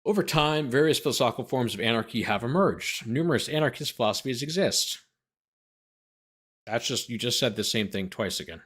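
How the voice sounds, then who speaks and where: A man's voice: medium pitch, lively intonation, fairly quick, a bit bright, slightly rough. A man hosting as a lawyer, reading a document aloud and breaking off for incredulous, sarcastic commentary. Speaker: lawyer host.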